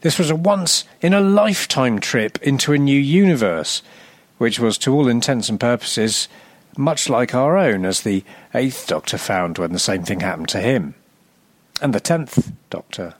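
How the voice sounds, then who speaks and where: A man reading a scripted, humorous narration as a podcast presenter, with a wry, deadpan, sardonic delivery. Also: mid-range voice, measured pace, no echo.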